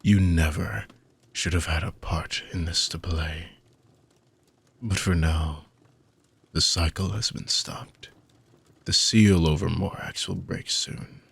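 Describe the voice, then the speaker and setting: A man playing an ancient, weary dark mage villain in a fantasy audio roleplay, husky and gravelly, speaking theatrically with long dramatic pauses. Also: low voice, fairly even intonation, dry recording, exaggerated voice. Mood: regretful, tender. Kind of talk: fantasy audio roleplay monologue addressed to listener.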